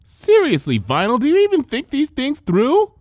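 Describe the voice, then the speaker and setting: A man voicing an excitable, bossy young female cartoon pony in a fan fiction reading, speaking in exclamations. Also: very high-pitched, normal speed, no room sound, clean studio sound, pitched above the performer's own voice, heavily acted. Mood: exasperated.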